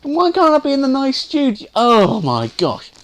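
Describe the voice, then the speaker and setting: A man's voice: extremely high pitch, wildly swooping pitch, moderate pace, heavily acted. A man playing a grumpy, put-upon correspondent in a comedy sketch, whining and complaining. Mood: grumpy, exasperated. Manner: whiny, sighing, complaining. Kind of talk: comedy sketch piece to camera.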